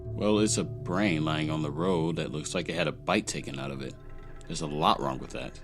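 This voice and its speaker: A man plays a jaded little cartoon sidekick from a fairy world. His voice is low yet swings freely, full of dry sarcasm, with prominent music underneath. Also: lively intonation, moderate pace, vocal fry. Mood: wary. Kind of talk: comic fan-dub voice acting.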